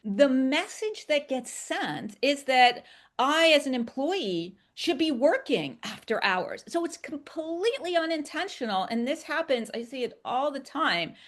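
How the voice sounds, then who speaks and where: A woman with a fairly high voice talks like an experienced coach explaining a point. Her delivery is conversational and emphatic, built on informal examples and small check-ins with the listener. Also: big pitch swings, measured pace. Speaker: executive communication coach.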